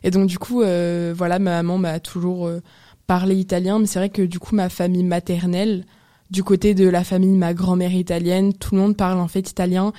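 A woman's voice: fairly low pitch, little pitch movement, a little fast, mellow. A young woman from France hosting a podcast, interviewing in a casual, conversational way. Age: young adult. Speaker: podcast host.

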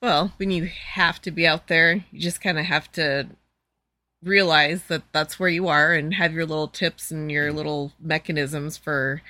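A woman co-hosting a casual podcast, answering briefly in an easygoing, unhurried way. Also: deep voice, fairly even intonation, pushed and bright, no room sound. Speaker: podcast co-host.

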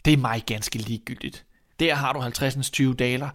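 A man's voice: mid-range voice, steady tone, fairly bright tone, close to an everyday voice. A man voicing a stern, exasperated father in a fairy tale, blunt and scolding. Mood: cold, dismissive.

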